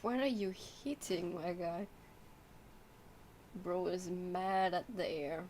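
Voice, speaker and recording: A woman's voice: fairly low pitch, slow, a little mellow, slight background noise. A woman streaming a video game, rambling casually and muttering to herself as she plays. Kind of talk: casual gameplay commentary. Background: game music.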